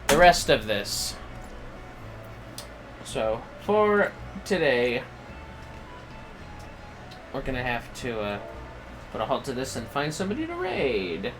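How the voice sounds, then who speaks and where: A man hosting a game livestream, chatting casually and haltingly as he muses to himself. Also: medium pitch, huge pitch swings, poor audio. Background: music.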